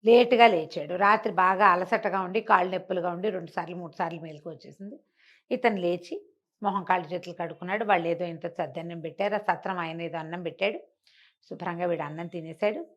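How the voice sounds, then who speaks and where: A woman's voice: on the low side, fairly even intonation, fast talker, dull audio. A woman storyteller telling a bedtime folk tale conversationally, repeating and explaining small details as she goes.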